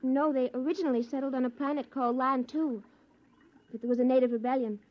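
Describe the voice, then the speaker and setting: A woman plays a trusting young colonist in a 1950s sci-fi radio play. She speaks high and gently, with earnest pleading. The sound is dull, like an old broadcast. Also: fairly even intonation, fast, lightly acted. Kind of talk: 1950s radio drama acting.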